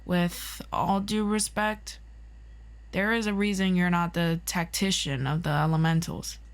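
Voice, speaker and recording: A woman's voice: lowish voice, steady tone, a little slow. A woman voicing a composed, authoritative interrogator from a hero agency council, formal and dry, in an audio roleplay.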